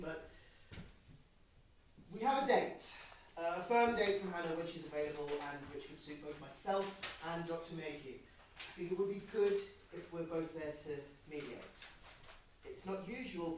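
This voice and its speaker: A man's voice: fairly high pitch, close to an everyday voice. Echoey, distant male therapist, played by an actor. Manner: measured, hesitant, gently insistent. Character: earnest male therapist leaving a concerned voicemail for client. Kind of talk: naturalistic audio drama acting. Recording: very echoey, distant, faint noise.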